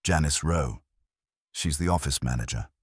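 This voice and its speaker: A man's voice: a bass voice, moderate pace, breathy edge, no room sound, clean studio sound. A man voicing a prim, guarded female receptionist in an audiobook, reserved and clipped. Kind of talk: audiobook character voice.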